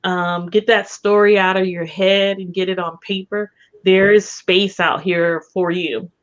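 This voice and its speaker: A woman co-hosting a casual chat in a measured, encouraging way, on slightly dull audio. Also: mid-range voice, steady tone. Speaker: co-host. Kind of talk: casual chat promoting a reading event.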